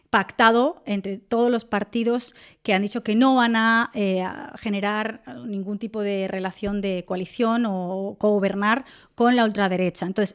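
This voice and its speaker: A woman's voice: mid-range voice, clean tone. A woman from Spain with a Castilian accent, explaining things in articulate detail as a guest analyst in a conversational podcast interview. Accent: Castilian Spanish. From Spain, La Mancha. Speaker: guest journalist and political analyst.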